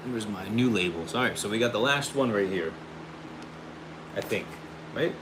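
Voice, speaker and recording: A man hosting a casual livestream and pitching his own hair product, upbeat and friendly, saying he is losing his voice. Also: normal speed. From United States. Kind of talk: casual livestream chat, reading viewer questions. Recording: steady air conditioning hum behind.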